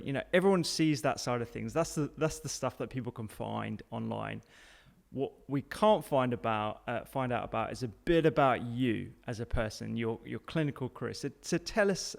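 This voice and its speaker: A man's voice: mid-range voice, vocal fry. A man hosting a conversational podcast interview in an informal, enthusiastic way.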